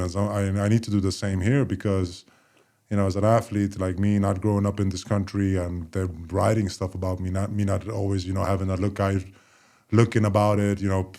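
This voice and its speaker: A former basketball player in his forties who co-hosts a podcast, chatting in a relaxed, rambling way with many fillers. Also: sits low. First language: Polish. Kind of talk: casual conversation.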